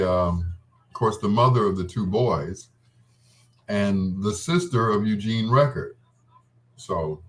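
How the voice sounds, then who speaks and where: A man hosting a radio show, telling personal stories in a casual, rambling, reminiscing way. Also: lowish voice. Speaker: radio host. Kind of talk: casual storytelling between love songs.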